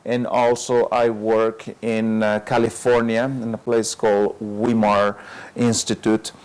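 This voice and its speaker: A man who is a lifestyle medicine doctor, telling his story as an interview guest in a relaxed way, with many fillers and frequent chuckles. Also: fairly low pitch, a little slow, poor audio.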